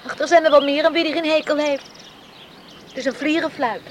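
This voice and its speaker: A woman's voice: sits high, steady tone, brisk, a little hiss or hum, lightly acted. A woman acting a chatty, good-humoured young village woman in a Dutch radio play. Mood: amused, evasive.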